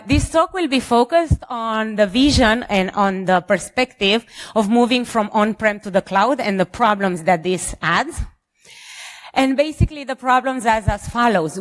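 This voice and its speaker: A woman with a Romanian accent giving an informal conference presentation as a security engineer, in a somewhat halting delivery. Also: fairly high pitch. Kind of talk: conference presentation with light jokes.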